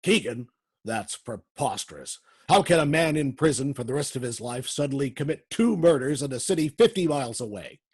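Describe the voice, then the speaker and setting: An actor playing a gruff, bossy, crooked prison warden in a radio drama, curt, blustering and impatient. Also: male voice, medium pitch, lively intonation, fairly quick. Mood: alarmed, blustering. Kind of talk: old-time radio drama acting.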